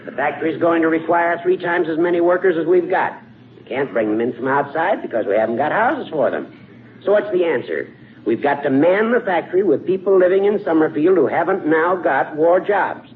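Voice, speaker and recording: Man playing a high-pitched, needling old judge. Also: expressive, close to an everyday voice. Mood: condescending. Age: older. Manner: deliberate, condescending, chuckling. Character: needling, sardonic old male judge, self-righteous and orating. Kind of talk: 1940s radio comedy acting. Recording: noisy, tinny 1940s radio broadcast.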